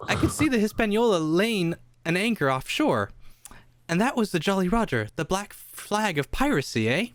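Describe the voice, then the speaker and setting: A radio play narrator with a mid-pitched, animated voice, recorded close and dry. The reading halts here and there and often ends sentences on a questioning "eh". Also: no room sound. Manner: halting, adds "eh" to sentences.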